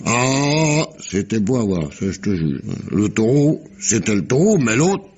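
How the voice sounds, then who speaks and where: An actor playing a rough, tipsy sailor spinning a sea yarn in slangy, rambling, halting speech, in 1950s French radio mystery style. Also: male voice, on the low side, big pitch swings, darkish tone, full character voice. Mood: excited storytelling.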